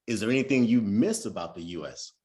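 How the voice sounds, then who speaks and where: A man's voice: lowish voice, lively intonation, fast, a little mellow. Man hosting a casual interview. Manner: encouraging, short affirmations. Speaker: interview host.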